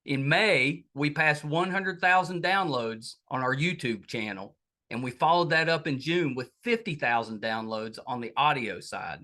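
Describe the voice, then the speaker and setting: A man's voice: mid-range voice, lively intonation, a little slow, sharp tone. A middle-aged American man speaking as a podcast host, casually and earnestly thanking and appealing to his audience in a chatty way. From United States.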